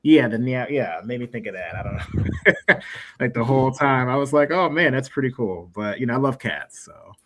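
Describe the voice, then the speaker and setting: A man with a mid-range voice hosts a livestream in a casual, chatty style. He rambles along encouragingly, often pausing to search for the right word. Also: medium pitch, lively intonation. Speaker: livestream show host. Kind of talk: casual livestream interview chat.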